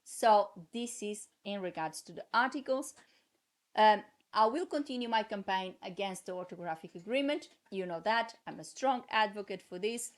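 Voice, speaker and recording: A woman with a Portuguese accent, a native Portuguese speaker, talking to her viewers in a casual vlog, halting with many fillers but passionate. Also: medium pitch, animated. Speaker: translator and vlogger.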